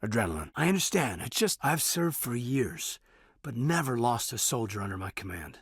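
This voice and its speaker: An actor playing an earnest, thoughtful military officer in a sci-fi video game, speaking sincerely and respectfully with a husky voice. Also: male voice, mid-range voice, very animated, moderate pace, dry recording, studio quality. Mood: somber, sympathetic. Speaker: video game character, soldier. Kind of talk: video game voice acting, conversational dialogue.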